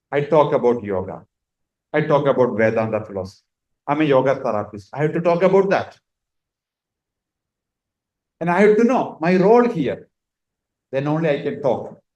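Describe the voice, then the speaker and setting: Man giving a slow yoga lecture. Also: high-pitched, a little mellow, dull audio. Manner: unhurried, halting phrasing with frequent pauses. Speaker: yoga and Vedanta teacher. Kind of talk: spiritual lecture.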